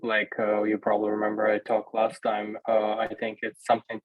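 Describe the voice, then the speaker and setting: A man's voice: on the low side, completely flat delivery, fairly quick, no room sound. A man in his twenties from Ukraine, a native Russian speaker, answering on a video-call panel in a hesitant way, with many fillers and restarts. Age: 20s. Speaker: panel guest. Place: small room indoors. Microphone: laptop webcam video call. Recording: dull lo-fi video call audio.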